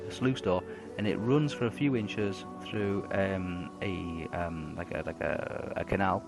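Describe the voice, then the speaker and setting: Deep-voiced man running a tabletop game. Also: steady tone, vocal fry, music in the background. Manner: halting, lots of ums and repeated words. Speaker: game master. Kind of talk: narrating a tabletop game, describing scenes.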